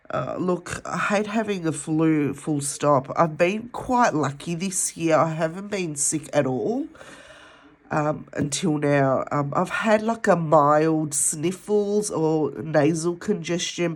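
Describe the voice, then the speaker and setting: An Australian woman vlogging at home, nasal from a head cold, chatting in a rambling way while she counts cash. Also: deep voice. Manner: rambling, chatty, with many ums. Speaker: budgeting vlogger.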